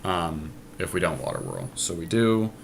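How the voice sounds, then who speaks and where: A man's voice: low-pitched, huge pitch swings, moderate pace. A man giving casual gameplay commentary to a teammate, thinking aloud step by step through each move. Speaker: podcast host playing an online card game. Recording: clear background noise, amateur screen capture.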